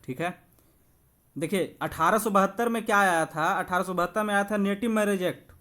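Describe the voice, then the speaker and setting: A man's voice: high-pitched, normal speed, pushed and bright. A man coaching exam students, explaining quiz answers in an instructive way and addressing viewers familiarly. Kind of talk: quiz walkthrough lesson with explanations.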